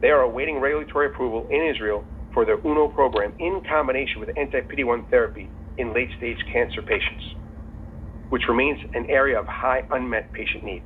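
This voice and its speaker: A man in the role of company executive gives a scripted earnings call presentation in a formal, measured way. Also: mid-range voice. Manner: formal, measured reading of prepared remarks. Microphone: telephone conference call. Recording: tinny phone line with faint noise.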